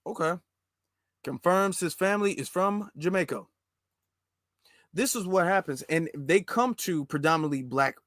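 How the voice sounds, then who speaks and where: A man's voice: fairly high pitch, a bit bright, no echo, clean studio sound. An American man giving impassioned political commentary as a host, emphatic and repetitive, with rhetorical questions.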